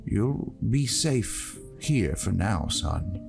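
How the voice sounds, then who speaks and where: A man voicing a kind, fatherly monk in a fantasy story, speaking gently and earnestly. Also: deep voice, sing-song, drawn-out words, gravelly, music in the background, full character voice. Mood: kindly.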